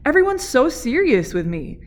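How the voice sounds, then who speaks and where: An American woman voicing an envious storybook knife who is animated and lamenting how seriously he is treated. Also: high voice, moderate pace, pitched above the performer's own voice. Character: envious male knife, weary of being taken seriously.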